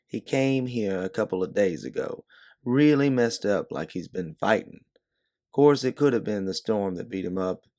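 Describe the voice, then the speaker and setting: A man's voice: on the low side, lively intonation, normal speed, warm tone. A man voicing a blunt, watchful, folksy doorman in a story, talking plainly and protectively. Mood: gruff, frank. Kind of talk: second-person storybook narration, character dialogue.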